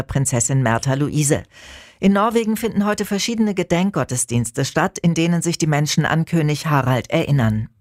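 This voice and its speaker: A woman's voice: low voice, no room sound. A woman reading scripted radio news as an anchor, close to a studio microphone.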